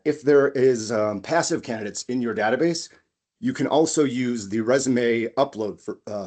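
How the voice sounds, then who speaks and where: A man's voice: mid-range voice, darkish tone. A man co-presenting a webinar as an automation consultant, explaining things conversationally through practical examples. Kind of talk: conversational business software presentation.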